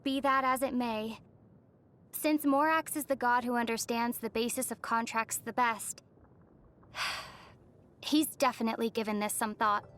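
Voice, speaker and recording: A woman voicing a composed young female traveler in a video game, gentle, measured and thoughtful, with a wry edge. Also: a little high, steady tone. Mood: thoughtful, knowing. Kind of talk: video game character voice lines.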